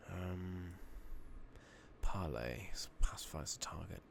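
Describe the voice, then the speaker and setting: A man giving a soft-spoken, partly whispered ASMR game playthrough, musing to himself and reading game text aloud over a noisy makeshift microphone. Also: low voice, takes it slowly, gravelly. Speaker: ASMR gaming streamer. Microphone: close makeshift replacement microphone.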